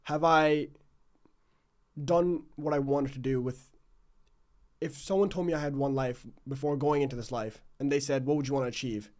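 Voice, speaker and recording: A man's voice: medium pitch, steady tone, moderate pace, a little mellow. A man hosting a podcast in a casual, reflective way, rambling and self-questioning as he circles toward his questions. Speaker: podcast host, interviewer. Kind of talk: casual reflective interview chat.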